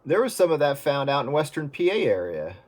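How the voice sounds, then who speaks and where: A man's voice: on the high side, sing-song, brisk, heavy background noise. A man chatting casually and musingly with friends over CB radio. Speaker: participant in CB radio chat.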